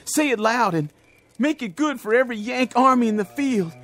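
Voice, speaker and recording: An actor playing a desperate Confederate soldier, urging and pushing in an old-time radio drama. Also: male voice, very high-pitched, expressive, moderate pace, a bit bright. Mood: urgent. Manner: urgent, pushing. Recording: old radio recording with music.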